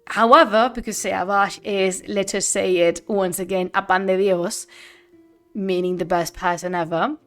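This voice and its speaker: Host, young woman, Spanish native speaking English, chatty and expressive, faint music under her. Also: medium pitch, unhurried. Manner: lively, laughing, drops to whispers. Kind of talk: casual livestream chat before a reading.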